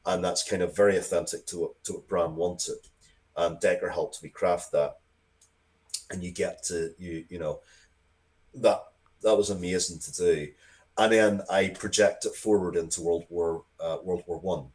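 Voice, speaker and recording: A man with a low-pitched voice talks casually as a guest in an interview. He rambles along conversationally, interrupting himself and circling back to restart his thoughts. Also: steady tone, normal speed, a little mellow, poor audio. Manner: rambling, self-interrupting, restarts sentences often. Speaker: interview guest, comics and game writer.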